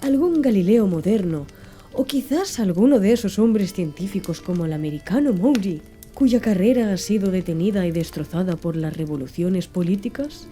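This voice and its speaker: A woman reading an audiobook in a soft, slow, hushed ASMR style, with faint background music. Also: mid-range voice, animated. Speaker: audiobook narrator.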